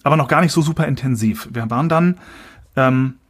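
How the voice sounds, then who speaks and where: A man from Berlin, a musical performer, is the guest on a podcast and speaks over a remote connection. He answers casually and hesitantly, filling gaps with sounds and poking fun at himself. His voice has an ordinary middle pitch. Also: fairly quick. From Berlin, Germany. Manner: hesitant, many filler sounds, self-mocking. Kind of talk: casual interview chat. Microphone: remote call audio.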